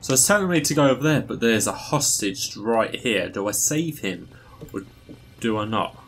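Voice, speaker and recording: A young man in his twenties giving excitable, casual commentary on a video game as he plays. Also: medium pitch, moderate pace. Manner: excitable, exclamatory, talking to himself. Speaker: gaming streamer. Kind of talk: casual let's-play commentary. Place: small room at home. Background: game music.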